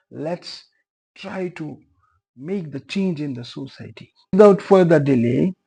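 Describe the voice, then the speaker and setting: Young guy, first language urdu, doing a beginner tutorial, super slow, dark mellow voice, says uh a lot. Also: a little high, creaky, breathy edge. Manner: earnest, repeats phrases, many fillers. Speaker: teacher. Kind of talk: explaining a tutorial.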